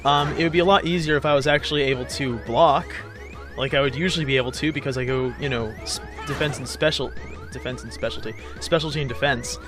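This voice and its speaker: A man's voice: medium pitch, fairly even intonation, fast, music in the background. A man giving casual, hesitant, rambling commentary as he hosts a video game playthrough. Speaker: let's play host.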